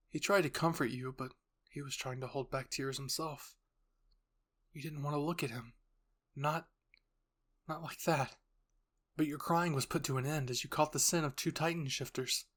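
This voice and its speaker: A man narrates a scripted roleplay story in the second person. His voice sits at a middle pitch as he tells the dramatic tale straight to the listener. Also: a bit bright.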